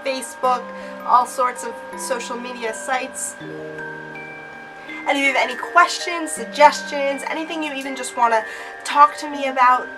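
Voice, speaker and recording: A woman in her twenties speaks in a fairly high voice that is a touch off from a cold. She chats casually and emphatically, as a vlogger does to regular viewers. She is recorded a little away from the camera microphone, with clear music behind her. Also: normal speed, far from the mic, low-quality recording. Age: 20s. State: slightly off voice from a cold. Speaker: vlogger, channel host. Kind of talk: casual vlog chat to viewers. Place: small cluttered room at home.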